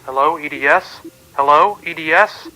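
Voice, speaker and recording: A man's voice: fairly high pitch, normal speed, bright, forceful tone, rough recording. A man playing an urgent radio operator hailing a spaceship in a radio drama, through a radio filter.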